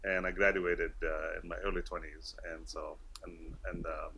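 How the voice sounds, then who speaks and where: Bright-voiced man with British-schooled English. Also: low voice, level tone, normal speed, husky. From Kuwait. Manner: friendly, laughing, many fillers and restarts. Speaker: guest. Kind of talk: casual interview talk.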